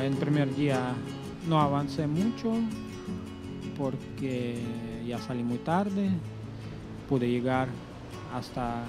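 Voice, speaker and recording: A middle-aged man slowly recounting his own travels, pausing often, correcting himself and using fillers, speaking into a stand mic outdoors above a beach. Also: fairly high pitch. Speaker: travel storyteller. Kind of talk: slow personal travel story. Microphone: stand mic in front of him. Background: music playing behind.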